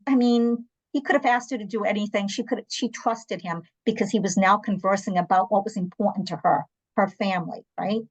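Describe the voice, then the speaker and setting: A woman's voice: medium pitch, steady tone, fairly quick, few pauses, rough recording. Candid woman, Portuguese first language. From Angola and South Africa. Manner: candid, anecdotal, emphatic asides. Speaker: interpreter services guest. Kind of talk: conversational panel talk.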